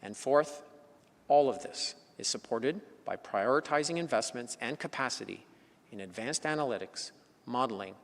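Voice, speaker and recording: A man's voice: mid-range voice, fairly bright tone. Man giving a scripted corporate presentation. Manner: measured, enumerating points, rising emphasis on openers. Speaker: insurance executive presenting. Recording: long hall reverb.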